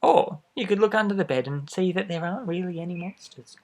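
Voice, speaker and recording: Guy reading a kids storybook out loud, gentle n expressive, chats to the little listener as he goes. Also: sits high, fairly quick. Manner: gentle, expressive, addressing a young listener directly. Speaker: storybook reader for children. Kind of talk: read-aloud picture book narration with asides.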